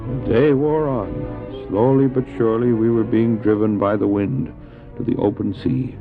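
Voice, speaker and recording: A man's voice: on the low side, narrow, tinny audio, music in the background, lightly acted. A man acting a stranded, devout doctor and dog sledder in an audio drama, earnest and theatrical, anguished but resolute, on an old cassette recording. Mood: grave narration. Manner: earnest, theatrical, halting when speaking in character. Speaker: narrator and lead actor. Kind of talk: dramatized first-person adventure narration, audio drama.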